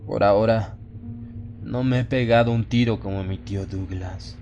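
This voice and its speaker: A man's voice: lowish voice, fairly even intonation, moderate pace, a little mellow, muffled recording, faint music behind, close to an everyday voice. A man playing a haunted narrator slipping into exalted, fanatical madness in a solemn, theatrical horror audiobook. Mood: brooding, confessional. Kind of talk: dramatic first-person horror audiobook narration.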